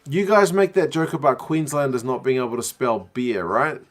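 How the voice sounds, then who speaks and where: A man's voice: mid-range voice, moderate pace. A young man with a New Zealand accent, muddled by years abroad, chatting casually on a rugby watch-along stream and reading out viewer messages. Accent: New Zealand, mixed from years abroad. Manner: relaxed, chatty, slangy.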